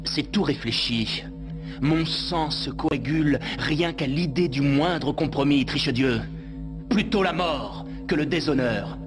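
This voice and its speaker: Gallant cartoon swashbuckler, proud and eloquent, fairly high male voice over music, firm formal delivery. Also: slow. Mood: proud defiance. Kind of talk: dubbed cartoon acting. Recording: music under the voice.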